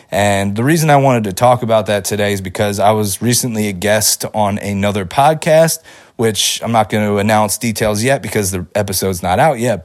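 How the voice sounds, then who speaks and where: A man hosting a podcast, talking casually and giving upbeat motivational advice, his voice a little off from a lingering cold. He rambles with fillers and restarts. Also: on the low side. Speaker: podcast host. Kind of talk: casual solo podcast talk.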